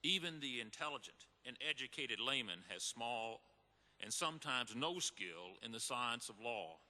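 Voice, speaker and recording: Earnest Supreme Court justice played by a man: emphatic stage reading, sharp projected tone, reverberant hall. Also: medium pitch, animated, a little slow. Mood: earnest, formal. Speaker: reader in a readers theater re-enactment. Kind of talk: scripted dramatic reading to an audience. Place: large hall before an audience. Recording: echoey hall with long reverb.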